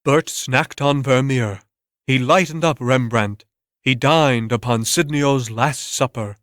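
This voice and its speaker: A man's voice: mid-range voice, slow, fairly bright tone, dry recording, studio quality. A man narrating a story as a scripted dramatic reading.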